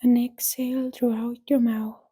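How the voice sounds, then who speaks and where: A woman with a soft, breathy voice leads a guided yoga nidra relaxation in a soothing, gentle manner, with long pauses between instructions. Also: on the high side, little pitch movement. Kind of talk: guided relaxation meditation, scripted.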